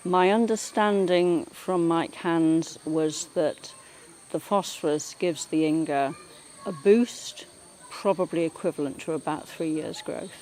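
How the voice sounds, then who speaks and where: A woman's voice: low voice, measured pace, darkish tone, poor audio. Woman explaining, over outdoor insect noise. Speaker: agricultural project expert. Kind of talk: unscripted explanatory talk.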